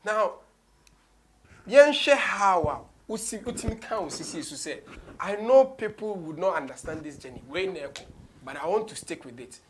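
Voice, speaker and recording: A man's voice: high voice, animated, normal speed. A young man with a Ghanaian accent hosting a casual interview, moving between two languages as he talks. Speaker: interview host. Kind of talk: casual interview, mixing English and Twi. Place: small indoor radio studio.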